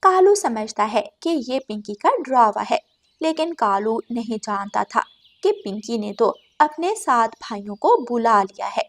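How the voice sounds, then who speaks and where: A woman with a high-pitched voice serves as narrator. She reads a scripted children's story in storytelling style. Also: lively intonation, a little slow.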